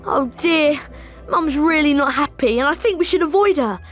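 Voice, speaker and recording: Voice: high voice, normal speed, a bit bright, soft background music. An actor playing an eager, friendly young wizard boy with a British accent in a video game, chummy and informal. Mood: urgent, friendly. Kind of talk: video game character acting.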